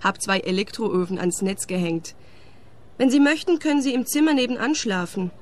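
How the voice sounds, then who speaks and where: A woman's voice: medium pitch, lively intonation, normal speed, lightly acted. A young woman playing a cheeky squatter. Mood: teasing. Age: young adult.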